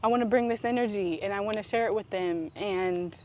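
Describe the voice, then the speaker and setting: A woman's voice: medium pitch, fairly quick. Female vlog host, medium register, earnest and reflective, casual delivery with background noise. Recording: clear steady background noise.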